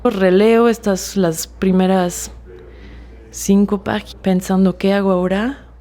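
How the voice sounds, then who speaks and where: A French woman in her forties, speaking Spanish as a second language, recalls her life as a writer in a halting, reflective way with frequent restarts. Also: mid-range voice, steady tone. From France. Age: 40s. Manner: soft-spoken, halting with fillers and restarts. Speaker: author interviewee. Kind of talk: reflective personal testimony.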